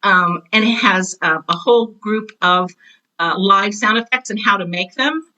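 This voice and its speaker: A woman's voice: medium pitch, moderate pace, sharp tone, rough recording. A middle-aged American woman being interviewed, chatting enthusiastically and with self-deprecating asides, like a teacher telling her own stories. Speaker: podcast guest, teacher and author. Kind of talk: casual interview chat.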